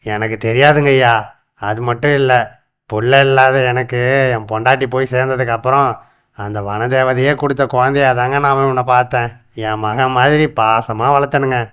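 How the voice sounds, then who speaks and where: Man playing a clear-voiced humble rural Tamil hunter. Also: mid-range voice, steady tone, normal speed, fairly bright tone, natural voice. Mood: humble, earnest. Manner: deferential, colloquial village speech. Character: humble rustic hunter, devoted adoptive father. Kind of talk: animated folktale acting. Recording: music under the voice.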